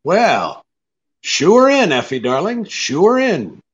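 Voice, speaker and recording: Tough wry private eye, old radio play, pitch moves a lot, bit muffled. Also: male voice, wildly swooping pitch, slow, breathy edge, exaggerated voice. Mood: breezy. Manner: laconic, slangy tough-guy delivery. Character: hard-boiled, wry male private detective. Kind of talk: 1940s radio drama acting.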